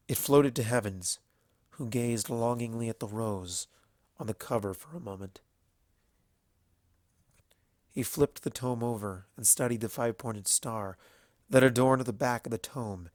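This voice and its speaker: Deliberate male storyteller, very dry sound. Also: medium pitch, no room sound. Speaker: fan fiction narrator. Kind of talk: scripted story narration.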